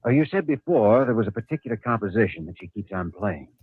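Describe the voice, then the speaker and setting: An actor playing a calm, probing doctor in a 1950s radio drama, speaking in a measured, solemn way. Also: male voice, on the low side, breathy edge. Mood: probing, concerned. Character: calm, probing male doctor, serious and concerned. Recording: muffled old radio recording with music.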